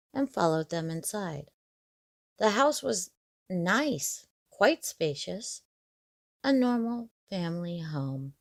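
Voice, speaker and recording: Slow-paced woman narrating, with a creaky voice. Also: medium pitch, dry recording, clean studio sound. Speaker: horror story narrator. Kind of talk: scripted first-person story narration.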